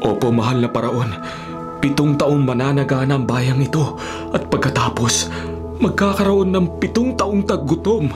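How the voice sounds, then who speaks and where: An actor playing a humble, devout Hebrew dream interpreter in a respectful, earnest radio drama style. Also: male voice, mid-range voice, normal speed, lightly acted. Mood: solemn, earnest. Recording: radio drama over clear background music.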